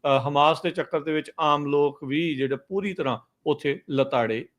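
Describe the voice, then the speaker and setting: A man presents radio news as a host. He comments conversationally and haltingly, dropping English words into his sentences. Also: a little high.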